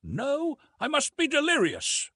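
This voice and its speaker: A man's voice: very high voice, wildly swooping pitch, a little slow, bright, forceful tone, no room sound, clean studio sound, voice pushed up, heavily acted. A man voicing a frightened, devoted young woman with a breathless, earnest manner in an audiobook romance adventure. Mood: awed, dazed.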